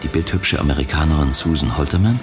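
An actor as a formal narrator, introducing a radio whodunit in measured 1960s German broadcast style. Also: male voice, extremely low pitch, music in the background. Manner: measured, formal announcer delivery.

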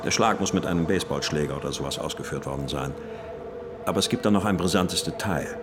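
An actor playing a polite, measured police colonel, a persuasive new boss in a radio crime drama. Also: male voice, very deep voice, noisy background. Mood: matter-of-fact. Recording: music behind the voice.

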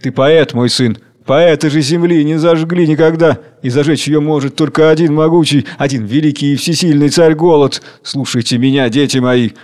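A man's voice: a little high, normal speed, darkish tone, lightly acted. A man acting a mighty, somber, fatherly tyrant spirit, declaiming grandly and with command. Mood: mocking, patronizing.

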